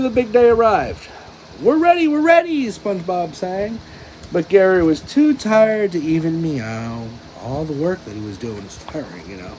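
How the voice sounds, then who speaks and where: A man reads a children's storybook in a high, very animated voice, taking his time. He is playful and chatty, dropping in casual asides, over clear background noise. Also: rough recording.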